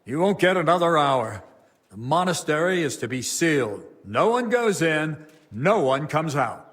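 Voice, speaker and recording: An actor playing a stern, burdened abbot of a medieval monastery, grave and formal, in a dramatic game cutscene. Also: male voice, on the high side, big pitch swings, measured pace, fairly bright tone. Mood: angry, commanding.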